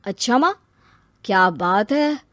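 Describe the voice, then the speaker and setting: A woman's voice: mid-range voice, expressive, slow pace, a bit bright, no room sound, studio quality. A woman voicing a young husband and new father in a cartoon story, easygoing and teasing. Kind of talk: cartoon story character acting.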